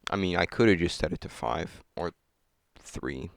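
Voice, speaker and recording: Young guy mumbling through game settings, deep voice with lots of fry, cheap mic, keys clacking. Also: normal speed, dry recording, clean studio sound. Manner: muttering, self-critical, thinking aloud. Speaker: gamer. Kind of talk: casual gameplay commentary. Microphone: cheap desk mic.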